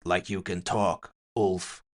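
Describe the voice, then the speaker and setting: A smug goblin gambler chats with a friend as a man acts him out. His voice sits low and he sounds knowing and matter-of-fact. It is casual dialogue from a cyberpunk audio story. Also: little pitch movement, takes it slowly.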